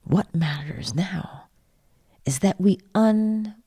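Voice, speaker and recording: A woman guides a sleep meditation in a very breathy, husky half-whisper. She speaks slowly and soothingly, pausing often and offering gentle encouragement. The sound is close and dry. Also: mid-range voice, no room sound.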